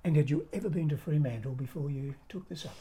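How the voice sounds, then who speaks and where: Woman podcast host, strikingly low voice, very breathy and husky. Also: mellow. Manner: measured, halting questions. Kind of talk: podcast interview.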